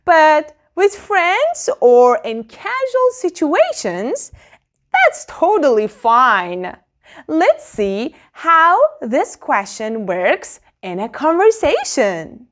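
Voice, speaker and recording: A woman teaching a beginner English lesson, modelling phrases very slowly and clearly for learners to repeat. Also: high voice, huge pitch swings, dull audio.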